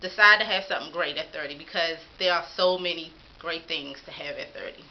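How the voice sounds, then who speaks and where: A woman's voice: low-pitched, fairly quick, lo-fi sound. Upbeat woman vlogger in her thirties. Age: 30s. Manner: upbeat, encouraging, folksy. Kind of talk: casual talk to camera.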